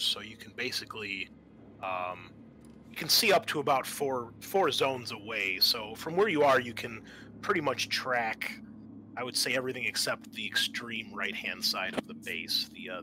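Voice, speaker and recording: A man with a mid-pitched voice works as game master of a tabletop roleplaying game. He narrates and explains rules in a hesitant, think-aloud way. Clear background noise runs behind him.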